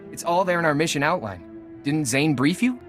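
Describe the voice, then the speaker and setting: Guarded captive soldier over music. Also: male voice, a little high, animated, a little fast, fairly bright tone. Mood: surprised. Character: captured male soldier, dutiful, guarded lieutenant. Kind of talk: video game voice acting.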